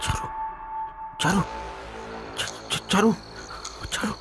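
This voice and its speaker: A man's voice: slow, music in the background, close to an everyday voice. A man playing an obsessive, vengeful young servant and unhinged jilted lover in a melodramatic cartoon horror story. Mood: sly, possessive.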